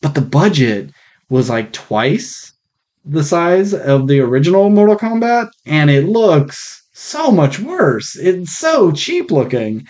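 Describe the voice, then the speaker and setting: A man co-hosting a casual film podcast, speaking in a blunt, opinionated way with casual swearing, recorded on a rough mic. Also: on the high side, big pitch swings, slow, a little mellow. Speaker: podcast co-host.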